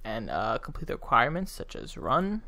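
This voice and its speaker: A young man casually narrating a software tutorial as its host, close on a desktop microphone. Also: medium pitch, huge pitch swings, a little fast. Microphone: close USB desktop microphone.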